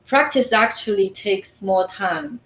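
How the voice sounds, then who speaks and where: A woman whose first language is Mandarin, a coach answering interview questions in a thoughtful way, often pausing on "uh" and "mm". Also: medium pitch, a bit bright. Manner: thoughtful, frequent "uh" and "mm" fillers. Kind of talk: conversational interview. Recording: echoey room, faint ambient noise.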